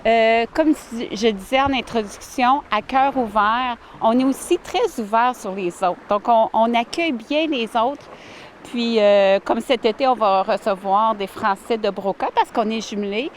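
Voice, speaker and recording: A woman, answering interview questions warmly and earnestly outdoors by a lake, with many fillers and restarts. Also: on the high side, unhurried, slight background noise. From Ottawa, Canada. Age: 40s. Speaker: village mayor, interviewee.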